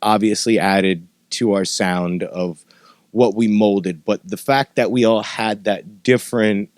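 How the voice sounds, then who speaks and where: A man's voice: on the low side, fairly even intonation, unhurried, dry recording, studio quality. Casual sweary man chatting on a podcast. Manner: profane, informal. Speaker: podcast host and former metal band member.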